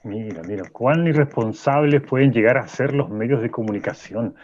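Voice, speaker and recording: An actor playing a weary, earnest professor interviewed in a radio drama, speaking haltingly and repeating words. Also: male voice, mid-range voice, darkish tone, lo-fi sound, close to an everyday voice. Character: weary, regretful male professor, earnest and troubled. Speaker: interview guest.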